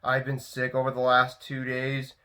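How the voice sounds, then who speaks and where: A man's voice: medium pitch, monotone, normal speed, bright, forceful tone. A young man with a head cold and sore throat, casually reviewing movies for his channel in a rambling way with lots of fillers. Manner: rambling, many "so, uh" fillers and restarts.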